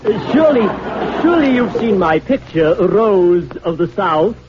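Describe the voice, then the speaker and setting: An actor playing an aging, hammy out-of-work actor in a 1940s radio comedy, pompous, eager and formal. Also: male voice, sits high, sing-song, a little slow, a crowd in the background. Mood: eager, self-promoting. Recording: noisy, dull 1940s radio recording.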